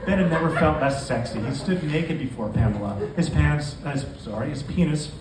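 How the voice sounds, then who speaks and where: A man's voice: fairly high pitch, a little fast. A man reading his own fiction aloud at a live literary event. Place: indoor bar event room. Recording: echoey, noisy bar venue, distant microphone.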